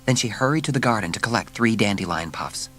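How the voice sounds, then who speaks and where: Low-voiced man narrating a story. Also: animated, fast, a bit bright, slight background noise.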